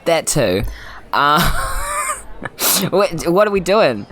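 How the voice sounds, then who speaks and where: A man's voice: sits high, wildly swooping pitch, very slow, bright, forceful tone, faint music behind, poor audio. A man improvising casually as a player in a tabletop game, in a jokey way. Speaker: tabletop role-play player. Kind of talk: casual improvised role-play.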